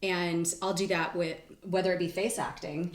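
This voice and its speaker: A woman with a fairly low voice hosts a casual chat in a roomy, echoing space. She is expressive and affirming as she talks with her guests. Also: moderate pace, a crowd in the background. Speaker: interview host, actor. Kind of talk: casual group conversation. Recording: echoey room.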